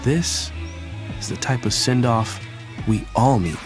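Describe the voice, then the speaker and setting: A man's voice: medium pitch, slow pace, natural voice. Young man, cheeky younger brother acted, over music. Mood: reflective, warm. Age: young adult. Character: cheeky, bright student younger brother and son. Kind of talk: audio drama acting with reflective first-person narration. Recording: music prominent behind voice.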